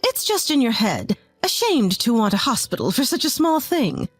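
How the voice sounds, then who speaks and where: Storytime role: scornful, pushy middle-aged mother-in-law voiced by a woman, lifted pitch, dismissive and needling. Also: very animated, normal speed, a little mellow, dry recording, soft background music.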